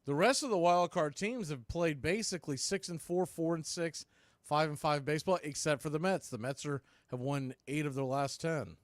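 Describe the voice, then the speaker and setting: Male baseball announcer, slightly high-pitched, live play-by-play in short clipped phrases, dry sound. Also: normal speed, no room sound. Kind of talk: live sports commentary with score updates.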